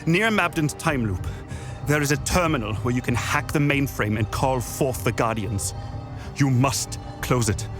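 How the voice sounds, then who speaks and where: An actor playing a guilt-ridden, bitter insider with a grave, urgent manner in a dramatic video game. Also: male voice, mid-range voice, a little slow, over music, close to an everyday voice. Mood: pleading. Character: guilt-ridden, bitter male insider pleading to end suffering.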